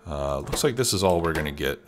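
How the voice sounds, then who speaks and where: A man's voice: very deep voice, expressive, a little fast, a little hiss or hum. A man with a stuffed-up cold, casually commenting on his gameplay as a let's play host, thinking aloud with many fillers. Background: background music and keyboard typing.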